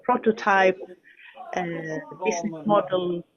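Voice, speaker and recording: A woman's voice: mid-range voice, steady tone, a little slow, highs cut off, crowd noise. A woman hosting an online project session from a studio, talking hesitantly with many fillers and restarts. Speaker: webinar host.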